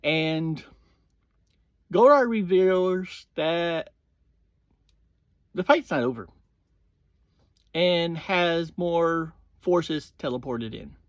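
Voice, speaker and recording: A man hosting a review series, giving a casual, informal recap in halting phrases that often open with "well". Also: a little high, no room sound.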